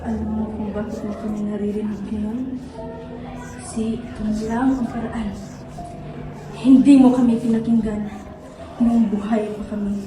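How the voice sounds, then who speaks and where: A woman's voice: medium pitch, fairly even intonation, normal speed, pauses often, close to an everyday voice. A woman playing the bitter ghost of a dead female student who accuses someone of never listening, in a student stage play. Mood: accusing. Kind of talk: amateur stage acting. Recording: distant, echoey, over loud music.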